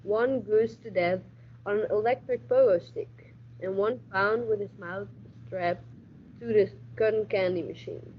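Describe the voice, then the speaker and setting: A woman's voice: medium pitch, a little slow, close to an everyday voice. A teenage woman playing a hard-nosed male police detective in a radio play, narrating in hardboiled style with some stumbles over her script. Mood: dry, hardboiled narration. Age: teens. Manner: stumbles and repeats words while reading. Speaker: school radio drama actor. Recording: dull audio with faint noise.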